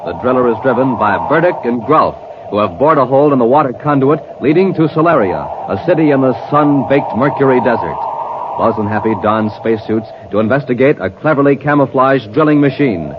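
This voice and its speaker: A man working as a 1950s radio announcer, narrating and pitching in a punchy, dramatic style. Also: expressive, a little fast, background noise. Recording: dull 1950s radio recording.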